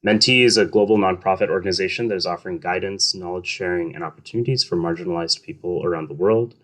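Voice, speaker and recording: A man hosting an interview, giving a formal, measured introduction of his guest. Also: low-pitched, fairly bright tone. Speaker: host and outreach coordinator. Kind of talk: hosted online interview.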